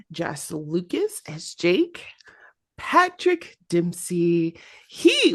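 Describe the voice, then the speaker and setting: A woman's voice: mid-range voice, fairly bright tone. A woman with a Midwestern accent, co-hosting a casual pop-culture podcast and laughing often. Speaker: podcast co-host.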